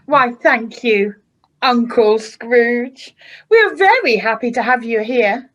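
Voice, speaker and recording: A woman plays a doting young wife in a radio play. She is sweet and gushing, speaking slowly in a highish, swooping voice. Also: lively intonation, a bit bright, lo-fi sound, heavily acted. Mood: gracious. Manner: gushing, drawn-out. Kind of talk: amateur radio play acting.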